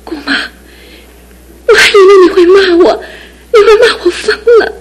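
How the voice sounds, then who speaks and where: High-voiced young woman in a radio drama, teary, earnest. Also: moderate pace. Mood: tearful. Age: young adult. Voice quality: tearful, earnest. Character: young woman engaged to an injured fiancé.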